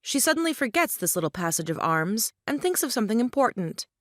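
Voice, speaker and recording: A woman's voice: a little high, big pitch swings, fast talker, dry recording, clean studio sound. A woman with a Scottish accent reading scripted stage directions as a wry narrator.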